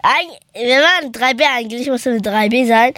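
A nine-year-old girl, halting and searching for words, who often repeats herself in casual chat. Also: fairly high pitch, fairly bright tone, dry recording, clean studio sound. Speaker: child interviewee.

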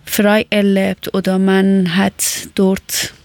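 A woman, chatting casually and often pausing to search for words. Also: medium pitch, monotone, a little mellow, no echo. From Afghanistan. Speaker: conversation partner. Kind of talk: casual chat in learner German.

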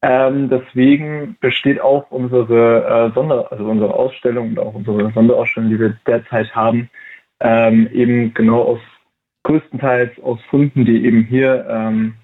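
A young man in his twenties answers interview questions in a relaxed but halting way, pausing often and restarting his sentences. His voice sits at an average pitch. It comes through a narrow, phone-like line that sounds tinny. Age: 20s. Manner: hesitant, many fillers and restarts. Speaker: interview guest, volunteer at a museum.

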